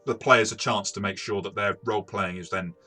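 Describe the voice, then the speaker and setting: Deep-voiced man explaining tabletop games. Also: steady tone, a little fast, sharp tone, soft background music. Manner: hesitant, self-correcting, explanatory. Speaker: tabletop game reviewer and game master. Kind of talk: conversational review discussion.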